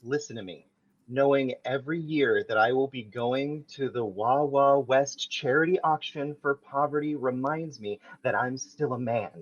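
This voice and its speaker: A man reading Schmidt, vain and slow-spoken. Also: medium pitch, lo-fi sound, close to an everyday voice. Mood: self-pitying. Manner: bossy, coaxing, self-important. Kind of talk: amateur table read.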